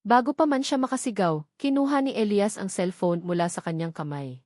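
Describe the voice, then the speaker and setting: A woman narrates a dramatic audiobook story from a script. Her voice sits at a middle pitch, and the sound is dry, with no room around it. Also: a little fast, darkish tone, no echo.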